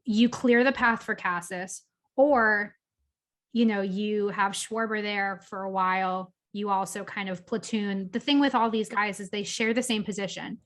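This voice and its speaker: A woman co-hosting a sports chat, opinionated and chatty. She talks in an easy, unpolished way and leans on fillers as she reasons out loud. Her pitch sits in a middle range. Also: fairly even intonation, a little slow. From Boston area. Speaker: podcast co-host.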